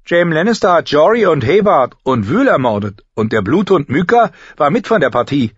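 A man's voice: on the high side, big pitch swings, moderate pace, husky, dry recording, dull audio, pitched above the performer's own voice. A man voicing a defiant young tomboy girl in a storybook, blunt and taunting. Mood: defiant, mocking. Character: defiant, mocking tomboy younger sister, noble child.

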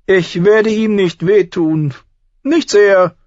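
A man's voice: high voice, slow pace, a little mellow, no room sound, highs cut off, voice pushed up. A man voicing an arrogant, cruel teenage prince in an audiobook, haughty and commanding. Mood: menacing.

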